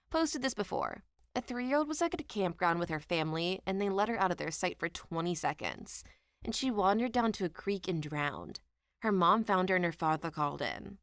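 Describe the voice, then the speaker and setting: Female narrator for read-aloud online stories, voice a bit low, no room sound. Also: fairly bright tone, no echo, studio quality. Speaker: narrator of online story compilations. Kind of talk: scripted narration, reading out anecdotes.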